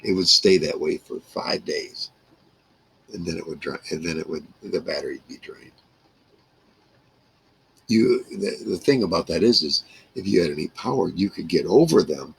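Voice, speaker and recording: A man in his sixties speaks in a very low voice with heavy gravel and a husky edge. He reminisces casually, rambling from one thought to the next in salty, plainspoken language. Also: expressive, moderate pace, pauses often, rough recording. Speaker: vlogger. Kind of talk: casual reminiscing monologue to camera. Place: small wood-paneled room indoors.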